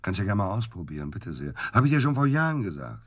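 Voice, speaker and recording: Husky, very deep-voiced bitter husband. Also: male voice, very animated, fast. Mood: dismissive, sardonic. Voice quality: husky low voice. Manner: blunt, emphatic, repeats himself. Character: bitter, hard-drinking husband of middle age, crude and volatile. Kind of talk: naturalistic German radio drama acting.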